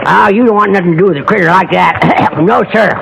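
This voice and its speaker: An actor playing an old, toothless, jolly prospector with a folksy American West accent, rambling and cackling in old radio western style. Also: male voice, sits high, fairly quick, gravelly. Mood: folksy, teasing. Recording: noisy old radio recording.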